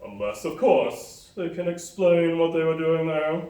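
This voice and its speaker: A man's voice: a little high, expressive, a little slow, dark tone. A man playing a stern but fair judge, formal and authoritative, in a live radio play. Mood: formal, official. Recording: echoey hall from far off, noisy.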